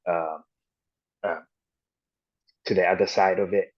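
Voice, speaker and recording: Deep-voiced man telling his story haltingly. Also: fairly even intonation. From Ghana and the United States. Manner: halting and reflective, with frequent restarts and "you know". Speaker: podcast guest. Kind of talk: personal storytelling in an interview. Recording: dull lo-fi audio.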